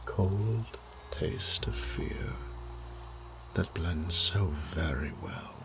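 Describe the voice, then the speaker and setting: Man as a deep, gravelly, languid vampire lord. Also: lively intonation, drawn-out words, noisy background, exaggerated voice. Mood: gloating, sinister. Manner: theatrical, ornate, taunting, often dropping to a breathy whisper. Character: suave, sadistic male vampire lord toying with his captive prey. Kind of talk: scripted horror audio roleplay monologue.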